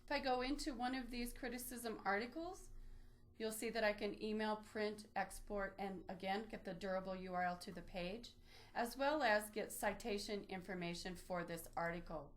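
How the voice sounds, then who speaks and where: A woman presents an informal online tutorial in a medium-pitched voice. She explains each step as she goes, in the unscripted manner of a trainer demonstrating a research tool. The delivery is instructional and practical. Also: moderate pace. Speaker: librarian giving a database tutorial. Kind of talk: informal instructional screen-share walkthrough.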